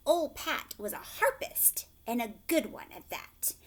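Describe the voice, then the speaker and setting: Slow, animated woman reading a storybook. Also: fairly high pitch. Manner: explains words and checks in with young listeners. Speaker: teacher reading aloud to a class. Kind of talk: expressive storybook read-aloud with explanations.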